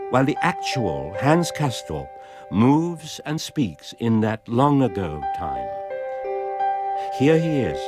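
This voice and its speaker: An actor narrating a literary radio drama as a solemn, absorbed storyteller with a measured, expressive delivery. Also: male voice, mid-range voice.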